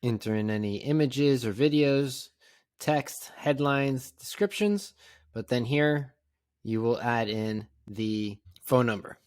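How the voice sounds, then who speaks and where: A man narrating a casual step-by-step software tutorial in a plain, conversational, instructional way. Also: mid-range voice, expressive, slow pace, dry recording, clean studio sound. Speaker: tutorial presenter.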